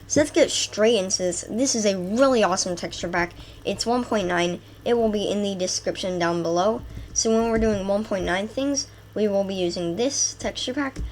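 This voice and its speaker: A woman with a stuffy nose from a cold, chatting excitedly through a gameplay video, rambling through lists with fillers. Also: medium pitch, slight background noise. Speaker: gaming channel host. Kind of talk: casual gameplay commentary.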